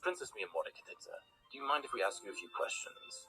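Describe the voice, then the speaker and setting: Man playing a deep, brisk pony detective. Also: animated, bright, forceful tone, husky, soft background music, full character voice, low-quality recording. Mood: brisk, curious. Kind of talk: animated fan drama acting.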